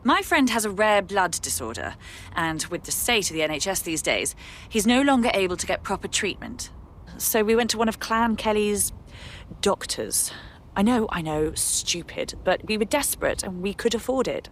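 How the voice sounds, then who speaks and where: An actress playing a polite, earnest civilian recruit in a video game, well-spoken with a British accent. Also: female voice, mid-range voice, animated, moderate pace, a bit bright, slight background noise. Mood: worried, pleading. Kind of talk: conversational video game dialogue.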